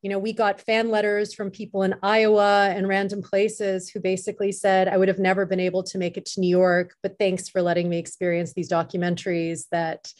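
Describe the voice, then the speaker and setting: A woman's voice: medium pitch, little pitch movement, fairly quick, hardly any pauses, darkish tone. A woman speaking as a festival director in an informal panel discussion, fluent, upbeat and conversational.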